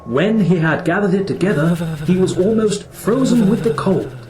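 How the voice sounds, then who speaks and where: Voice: medium pitch, lively intonation, normal speed, background noise. A narrator telling a children's fairy tale in a dramatic, wondering storytelling manner. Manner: wondering, suspenseful questioning.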